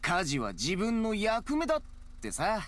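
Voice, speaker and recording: A man's voice: high voice, huge pitch swings, fairly quick. An actor playing an easygoing male co-worker in a Japanese visual novel. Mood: casual, remonstrating. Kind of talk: Japanese game voice acting.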